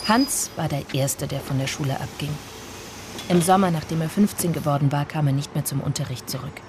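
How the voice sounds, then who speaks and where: An actress playing a melancholy narrator in a literary radio drama, telling of childhood friends in a measured, restrained way. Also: female voice, deep voice, noisy background, natural voice. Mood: sober, nostalgic. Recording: music under the voice. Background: music.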